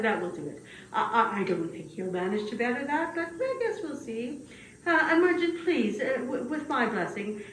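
A woman voicing an exasperated, commanding king in a puppet show, blustering and haltingly thinking aloud. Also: a little high, a bit bright. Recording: roomy, distant mic with background noise.

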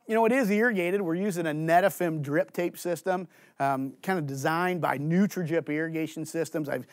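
A man in his forties, a farm agronomist, giving an energetic conference presentation on a studio stage through a headset mic, asking and answering his own questions. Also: on the high side, dry recording. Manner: gestures and walks on stage while talking. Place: indoor studio stage with green curtains.

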